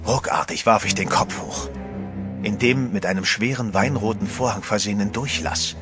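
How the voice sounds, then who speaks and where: Low-voiced man narrating horror. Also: normal speed. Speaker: first-person narrator and hero. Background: music.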